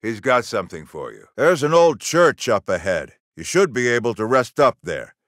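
An actor playing a stern, gruff middle-aged army general in a video game, giving orders and briefings in a commanding, clipped military manner. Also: male voice, mid-range voice, big pitch swings, moderate pace, a bit bright, no room sound, clean studio sound. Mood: firm, commanding.

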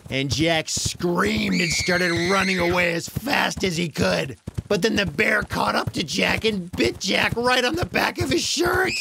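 A man narrating a children's story in a dramatic, animated way with exaggerated emphasis. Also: fairly high pitch, vocal fry, no room sound.

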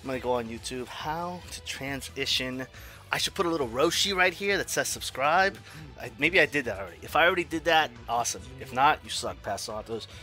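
A man in his forties commenting on his own online fighting game matches, swinging from low mutters to loud yells, laughing and cursing at himself and his opponent. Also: expressive, a little fast, soft background music. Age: 40s. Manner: profane, self-mocking trash talk. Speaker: gaming YouTuber. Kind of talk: live gameplay commentary.

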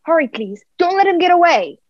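A woman's voice: very high-pitched, sing-song, fairly quick, no room sound, dull audio. A woman playing an eager, polite young boy in a radio play. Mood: urgent.

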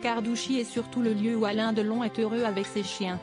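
A woman reading a scripted celebrity news narration in an even, synthetic-sounding way. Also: a little high, fairly quick. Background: background music.

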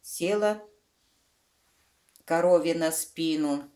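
A woman with a low voice reads to young children. She goes slowly, using fond diminutives and simple explanations as a storyteller would. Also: warm tone. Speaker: children's book reader, channel host.

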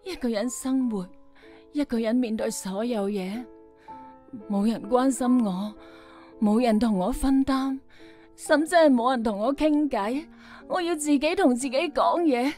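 An actress playing a weary, lonely young doctor with a sighing, brittle manner, in a Hong Kong Cantonese radio drama. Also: female voice, a little high, measured pace, warm tone, soft background music, close to an everyday voice. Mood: tearful exhaustion. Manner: sighing, impatient, emotional.

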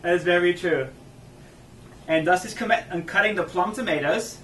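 High-voiced upbeat man, echoey distant mic. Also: moderate pace, pushed and bright, slight background noise. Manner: upbeat, agreeable, laughs often. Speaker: cooking show host. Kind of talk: comedic cooking show patter.

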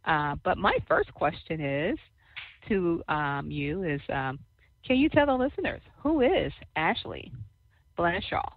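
A woman co-host with a soft voice interviewing a guest, affirming and churchy in her talk. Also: lowish voice, very animated, unhurried, no room sound, phone-quality sound. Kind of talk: faith-based interview.

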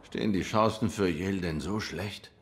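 Man voicing a low, laconic cat detective. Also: normal speed, close to an everyday voice. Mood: probing. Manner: terse, dry, probing. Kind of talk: film noir video game voice acting.

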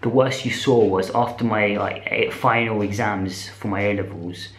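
A British man in his twenties talking casually to camera in a rambling, slangy vlog style, speaking in animated bursts. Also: lowish voice, normal speed. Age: 20s. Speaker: vlogger. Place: small indoor room with bare walls. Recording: echoey, roomy sound.

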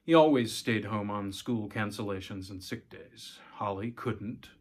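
A man's voice: fairly low pitch, measured pace. Middle-aged man narrating a short story. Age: 40s. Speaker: story reader, host. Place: small room at home.

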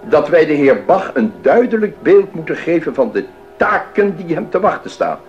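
A man's voice: on the high side, sing-song, moderate pace, darkish tone, highs cut off, faint music behind. A man playing a stern, pompous, pious councillor in a radio play, with formal, emphatic delivery. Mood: stern, officious. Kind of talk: 1980s Dutch radio play acting.

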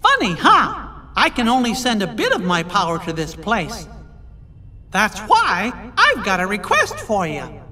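A bright, squeaky cartoon mouse king speaks slowly and earnestly, his pitch leaping about with every phrase. He sounds kind and encouraging, and a long reverb trails behind him in the game cutscene. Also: wildly swooping pitch, soft background music, heavily acted. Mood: reassuring. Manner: high falsetto, encouraging and sincere. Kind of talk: video game cutscene dialogue.

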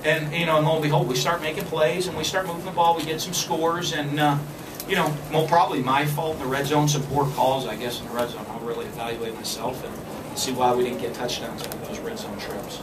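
A man's voice: a little high, flat delivery. Man at a podium, echoey room, faint noise. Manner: measured, many fillers and restarts. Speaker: head football coach. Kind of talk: postgame press conference. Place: press room with backdrop. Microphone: podium mic, filmed from a distance. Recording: echoey room, far from the camera, background noise.

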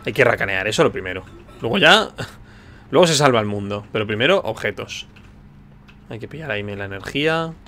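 A man's voice: lowish voice, expressive, a little fast, long pauses, bright, forceful tone, slight background noise. A man from giving casual gameplay commentary, musing aloud informally. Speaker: video game streamer. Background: video game sound.

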